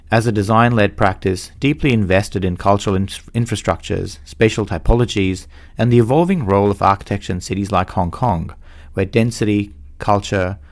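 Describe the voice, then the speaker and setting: A man with a somewhat low voice hosts a podcast. He speaks in a measured, formal way, as if presenting a prepared introduction before putting questions to someone. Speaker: podcast host. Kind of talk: scripted introduction and interview.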